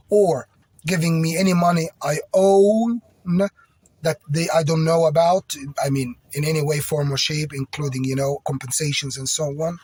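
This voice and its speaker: A man's voice: a little high, a little mellow, husky, no room sound. A man whose first language is French, speaking English in an agitated, repetitive personal video appeal.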